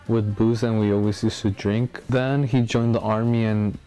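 A man in his early twenties, interviewed indoors in a small room, speaking calmly with slight hesitations. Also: on the low side, moderate pace. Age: 20s. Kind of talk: documentary interview.

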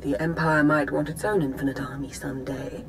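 An actress playing a composed, calculating intelligence agent in a video game, formal and precise. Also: female voice, low voice, fairly even intonation, fairly quick, vocal fry, slight background noise, poor audio. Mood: guarded, conspiratorial. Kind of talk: video game character acting.

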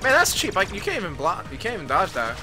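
A man's voice: high-pitched, huge pitch swings, fast, over music. A young man giving casual, reactive commentary as he plays a video game. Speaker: gamer, let's player. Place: indoor room, game audio behind.

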